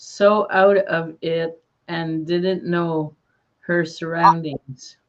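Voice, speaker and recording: A woman hosting a live paranormal investigation stream, talking in a calm, soothing way. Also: fairly low pitch. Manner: slow, calm, soothing delivery. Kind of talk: live-stream ghost hunt chat. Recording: dull lo-fi stream audio.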